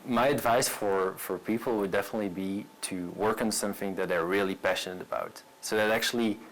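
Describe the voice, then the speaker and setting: A man in his late twenties speaks English with a Belgian accent in a somewhat low voice. He talks as an entrepreneur being interviewed, earnest and a little halting, doubling back on words as he explains himself. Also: fairly bright tone, a little hiss or hum, rough recording. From Brussels, Belgium. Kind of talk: personal profile interview to camera.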